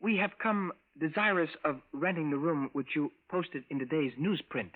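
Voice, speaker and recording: Casting: male actor as polite, strangely formal visitor, precise and stilted, slightly high voice, tinny old radio drama. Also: moderate pace, close to an everyday voice. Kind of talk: 1950s radio drama acting. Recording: thin, phone-like vintage radio recording.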